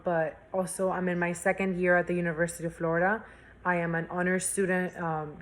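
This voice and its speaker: A young woman from Spain, a university student, chatting as an interview guest with lots of fillers and restarts. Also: lowish voice. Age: young adult. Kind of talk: casual interview chat.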